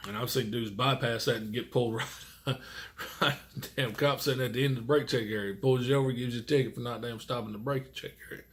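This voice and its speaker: A man's voice: medium pitch, fairly even intonation, fairly quick. A middle-aged man, a truck driver chatting casually and folksily in a rambling way to his viewers. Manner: folksy, rambling, mild swearing. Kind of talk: casual chat to camera.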